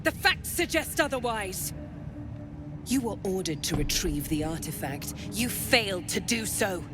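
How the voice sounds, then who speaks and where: A woman's voice: mid-range voice, lively intonation, measured pace, close to an everyday voice. A woman playing a ruthless, imperious cult commander in a fantasy game, formal and commanding. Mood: stern, cutting. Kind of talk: video game character acting. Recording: loud music behind.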